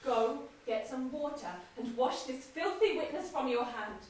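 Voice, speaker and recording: A ruthless, scheming noblewoman played by a woman commands in intense Shakespearean verse, her voice pitched high. She is heard from far across a cavernous, echoing hall, with faint noise underneath. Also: animated, unhurried. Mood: scornful. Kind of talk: stage play performance. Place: theatre stage in a large hall. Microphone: distant room mic.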